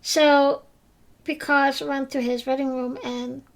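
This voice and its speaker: Woman, high voice, hesitant, lots of fillers, podcast recap host. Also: little pitch movement, slow. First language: Dutch. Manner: hesitant, many fillers and restarts; simple non-native phrasing. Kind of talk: casual episode recap.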